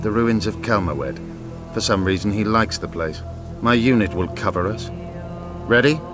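An actor playing a proud, bitter elf rebel commander in a video game, haughty and grandiose with an edge of menace. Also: male voice, on the low side, animated, moderate pace, over music, close to an everyday voice. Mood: proud, guarded.

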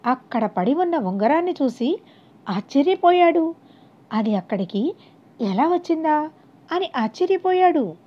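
A woman's voice: high voice, very animated, unhurried, pauses often. A woman narrating a scripted moral story as an audiobook narrator, on a dull, lo-fi recording.

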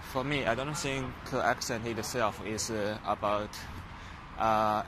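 A young man with a Chinese accent talks in a fairly low voice and often stops on fillers and restarts. He records himself on a handheld phone while walking through an outdoor campus. Outdoor noise is clearly heard behind him. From China. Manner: hesitant, many fillers and restarts. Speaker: vlogger. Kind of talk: casual vlog monologue. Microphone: handheld phone camera while walking.

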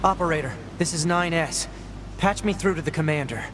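A man's voice: a little high, a little fast, background noise, lightly acted. A man voicing a curious, earnest, youthful military android in a video game. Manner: earnest, inquisitive. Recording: music behind.

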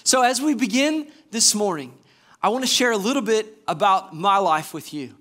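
High-voiced, enthusiastic young pastor. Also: male voice, animated, moderate pace, a bit bright. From Georgia, US. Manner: enthusiastic, gestures widely, asks the congregation questions. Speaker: assistant pastor. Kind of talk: sermon. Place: church stage before a screen.

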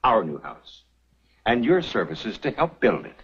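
An actor playing a pompous, domineering middle-aged church elder in a 1950s television western, formal and self-important. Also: male voice, lowish voice, very animated, unhurried, a bit bright, a little hiss or hum. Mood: smug, commanding. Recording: muffled old TV soundtrack.

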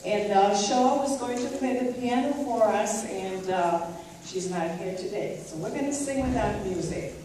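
A woman's voice: medium pitch, normal speed, dark tone. A middle-aged woman leading a children's church group, informally addressing a congregation through a handheld microphone in a large sanctuary. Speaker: children's Sabbath school leader. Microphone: handheld mic, recorded by a distant camera. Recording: echoey church hall from far off, background noise.

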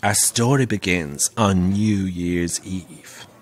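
A theatrical Irish pantomime dame. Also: male voice, sits low, takes it slowly. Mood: ominous, relishing. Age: middle-aged. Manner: theatrical, wry, relishing the tale. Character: camp, plump, middle-aged pantomime dame spinning grisly theatre ghost stories. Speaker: actor in an audio drama. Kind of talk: audio drama storytelling.

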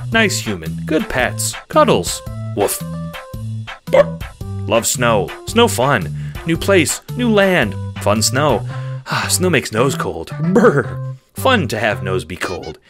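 Man playing an excitable, simple-minded dog, swooping pitch. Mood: happy, playful. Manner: broken baby-talk sentences, thinking aloud with barks.

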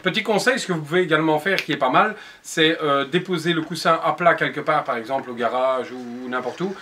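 A man's voice: fairly high pitch, fairly quick, bright, forceful tone, slight background noise. A man in his forties patiently giving step-by-step upholstery instructions in his workshop showroom, recorded on the camera mic. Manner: patient, explanatory, addresses viewer directly. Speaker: upholsterer demonstrating cushion making.

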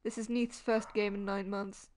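A young woman with a Yorkshire accent chatting excitably and swearing while playing a game with a friend. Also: medium pitch, moderate pace. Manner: excitable, swears, laughs often. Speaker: streamer. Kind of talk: casual gaming chat. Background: game music.

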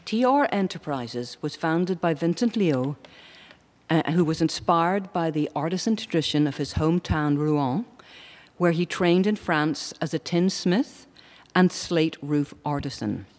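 A host reads a prepared introduction at a steady middle pitch. The voice carries the long reverb of a lecture hall. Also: a little slow. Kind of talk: formal introduction.

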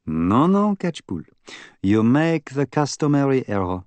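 Audiobook role: earnest, fussy middle-aged Belgian detective, French-speaking accent, precise and insistent, very dry close sound. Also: male voice, medium pitch, big pitch swings, unhurried, a little mellow, smooth, no room sound, voice pushed up. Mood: lecturing. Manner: precise, formal, emphatic phrasing. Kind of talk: audiobook character acting.

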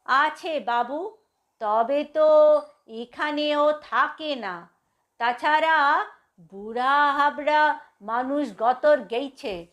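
A humble old caretaker of a crumbling mansion, acted out by a woman storyteller. The speech is very slow and deferential, with a rustic servant's turn of phrase and a fairly high pitch for an old man. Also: a bit bright, full character voice. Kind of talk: dramatised story reading.

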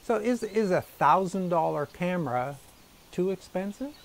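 A man's voice: high-pitched, lively intonation, moderate pace, creaky. A middle-aged man whose first language is Dutch, hosting a casual chat and asking earnest questions with frequent restarts. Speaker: host. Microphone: lapel.

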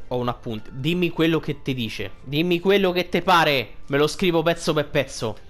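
A man's voice: a little high, moderate pace. A man commenting casually on a game, colloquial and prone to swearing as he reacts. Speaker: gaming video host. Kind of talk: casual game playthrough commentary, translating dialogue. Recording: game music behind the voice. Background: game music and dialogue.

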